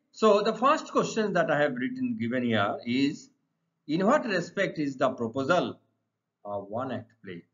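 A middle-aged man with an Indian accent, teaching English to students in deliberate, emphatic, repetitive phrases. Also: a little high, a bit bright. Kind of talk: exam lesson explained to students. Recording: dull, lo-fi sound.

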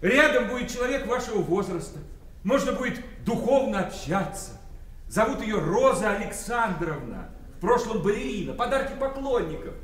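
An actor playing a jovial, pushy middle-aged son-in-law in a radio play, coaxing and flattering with endless rhetorical questions. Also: male voice, high-pitched, normal speed. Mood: coaxing, persuasive. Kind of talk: Soviet-era radio play acting. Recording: roomy, distant, faintly noisy radio play.

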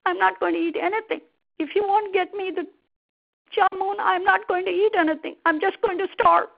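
Woman voicing a demanding high-pitched crocodile wife. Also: fairly even intonation, normal speed, vocal fry, dull audio. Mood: sulky. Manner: gushing, insistent. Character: greedy, demanding, sulky female crocodile wife.

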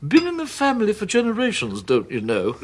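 An actor playing a bullying, sarcastic British husband in a radio drama, blustering and repeating himself, with a husky voice. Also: male voice, sits high, huge pitch swings, normal speed, a little hiss or hum. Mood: smug, matey. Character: bullying, sneering, hard-drinking husband, sarcastic and resentful.

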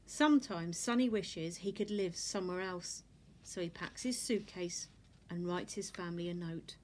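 A woman reads a children's picture book aloud with expressive, deliberate storytelling delivery. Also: fairly low pitch, a little hiss or hum. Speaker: storybook reader. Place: indoors in a furnished room at home.

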